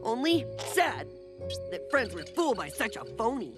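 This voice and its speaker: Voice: mid-range voice, sing-song, moderate pace, lightly acted. An actor playing a childlike, boastful, dim-witted jungle man in a cartoon, speaking naively. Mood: determined. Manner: naive, speaks of himself in third person. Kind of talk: exaggerated cartoon voice acting. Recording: music behind the voice.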